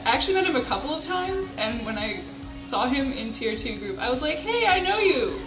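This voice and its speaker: A woman's voice: high voice, big pitch swings, brisk. A young woman talking casually and in a friendly way about a friend, with a giggly manner. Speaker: student. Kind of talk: casual testimonial. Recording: echoey room with music underneath.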